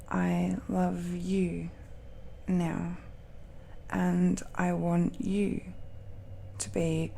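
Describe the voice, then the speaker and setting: A woman's voice: on the low side, vocal fry, mellow, a little hiss or hum. A woman playing a tender, possessive dragon-descended girlfriend in an intimate romantic audio roleplay, speaking hesitantly and breathily, often near a whisper. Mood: tender, adoring.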